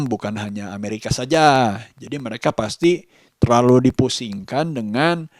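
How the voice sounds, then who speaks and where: Halting man talking in a dry space. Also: medium pitch, darkish tone, dry recording. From Indonesia. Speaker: vlogger. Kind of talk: casual vlog monologue.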